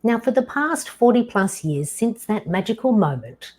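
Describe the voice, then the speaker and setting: A woman in her fifties hosting her own show, telling a personal story slowly and deliberately, with dramatic pauses. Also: fairly high pitch, big pitch swings, darkish tone, husky. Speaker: podcast host.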